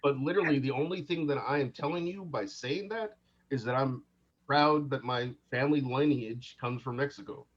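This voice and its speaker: A man's voice: medium pitch, moderate pace. A man from the United States co-hosting a casual debate, repeating himself to drive his point home. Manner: insistent, repeats himself for emphasis. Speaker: podcast co-host. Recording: dull lo-fi audio.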